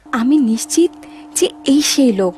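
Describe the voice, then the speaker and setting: A woman's voice: high-pitched, lively intonation, moderate pace, dark tone, a little hiss or hum. A woman playing a clever, brave young maid in a cartoon, earnest and resolute. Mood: urgent, suspicious. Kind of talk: animated cartoon dub acting.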